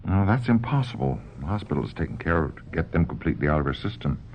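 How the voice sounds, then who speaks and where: An actor playing a grave, patient, conflicted psychoanalyst in a radio drama, explaining himself in a measured, hesitant way. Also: male voice, low voice, very animated, a little mellow. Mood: grave, worried. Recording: muffled lo-fi radio drama, faint noise.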